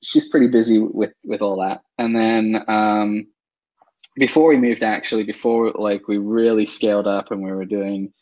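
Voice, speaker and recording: A man from England, a guest in a casual interview, talking with many fillers and soft laughs. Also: lowish voice, fairly even intonation, normal speed, muffled recording. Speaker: interview guest, online seller.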